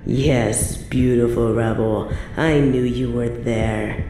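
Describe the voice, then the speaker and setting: A woman playing an evil, possessive medieval queen in a roleplay audio drama, haughty and theatrical with drawn-out, breathy asides. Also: rumbling low, steady tone, warm tone, reverberant, slight background noise. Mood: obsessive, seductive. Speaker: voice actor in a scripted roleplay audio.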